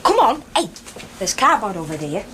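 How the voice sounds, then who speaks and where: An actress playing a bossy, defiant miner's wife with a Northern English accent, brisk and blunt, in a radio drama. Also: female voice, mid-range voice, big pitch swings, noisy background, close to an everyday voice. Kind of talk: naturalistic radio drama acting.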